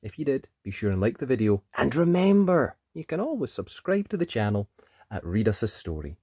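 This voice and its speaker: A man narrating a children's storybook in an expressive read-aloud style. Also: mid-range voice, fairly quick, husky, no echo, studio quality. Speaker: storybook narrator and channel presenter.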